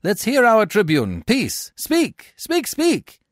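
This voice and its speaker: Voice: mid-range voice, wildly swooping pitch, slow, dry recording, clean studio sound, pitched above the performer's own voice. A reader voicing a rowdy mob of Roman citizens with clamouring, repeated cries, in a theatrical Shakespeare read-aloud.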